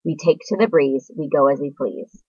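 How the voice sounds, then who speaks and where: A reader doing small, calm talking spiders. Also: mid-range voice, normal speed, husky, dry recording, natural voice. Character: small calm spiders, polite and matter-of-fact. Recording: slightly dull, muffled recording.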